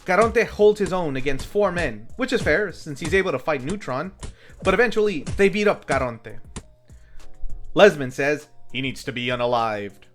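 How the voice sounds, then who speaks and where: A man's voice: on the high side, moderate pace, a bit bright. A man narrating a scripted, comedic film recap as a reviewer, with wry joking asides. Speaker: film reviewer, video host. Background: background music.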